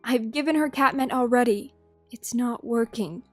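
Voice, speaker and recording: Storybook healer she-cat, read by a woman: subdued and hushed, faint music behind. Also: on the high side, moderate pace, close to an everyday voice. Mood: somber. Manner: quiet and subdued. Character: female healer cat, calm and sorrowful.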